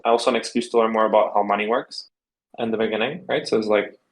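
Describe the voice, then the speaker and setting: A man's voice: fairly low pitch, fairly even intonation, fast talker, poor audio. A young man from the Czech Republic, answering interview questions in a loose, rambling way with lots of fillers. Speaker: guest.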